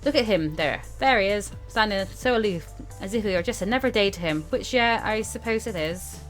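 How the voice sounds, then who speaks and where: Female let's-play host, mid-pitched, informal, reading on-screen text aloud with chatty asides, music behind her. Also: animated, fairly quick, fairly bright tone. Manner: informal, stumbles over read text and comments on it. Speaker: gaming video host.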